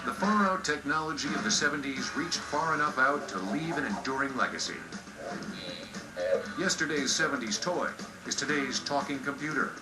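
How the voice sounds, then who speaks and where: A man's voice: on the high side, normal speed. An American man reading scripted documentary narration with a playful, punchy delivery. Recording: roomy sound under loud music bed. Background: music.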